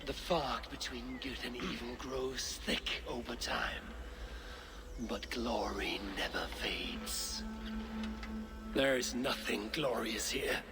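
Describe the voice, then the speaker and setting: An actor playing a stern, battle-weary Viking warrior in a video game, solemn, terse and menacing. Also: male voice, medium pitch, slow, over loud music. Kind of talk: dramatic video game acting.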